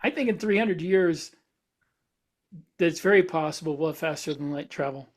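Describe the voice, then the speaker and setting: Casting: male co-host with a somewhat high voice, informal and discursive, building points from examples. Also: moderate pace. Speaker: podcast co-host. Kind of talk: casual podcast discussion.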